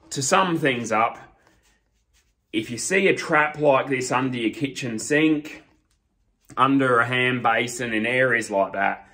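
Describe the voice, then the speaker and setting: A man's voice: mid-range voice, a bit bright. A man, a master plumber explaining step by step to camera from a small storeroom. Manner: slow, deliberate, explains step by step while holding up pipe fittings. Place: small storeroom with shelves, indoors. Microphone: camera in front at close range.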